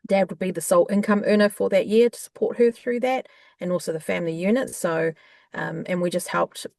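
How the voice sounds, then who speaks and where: A woman with a New Zealand accent, a guest in an interview, talking in a warm, chatty way with frequent fillers. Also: on the low side, steady tone, a little fast, gravelly, no room sound, clean studio sound. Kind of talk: conversational interview.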